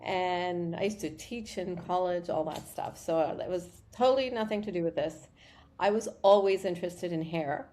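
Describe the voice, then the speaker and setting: A woman from Morocco speaks as a guest on an interview show. Her voice sits fairly low. She tells her story in a chatty way and often breaks off to restart a sentence. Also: normal speed, mellow. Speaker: keratin product founder, guest. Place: Montreal.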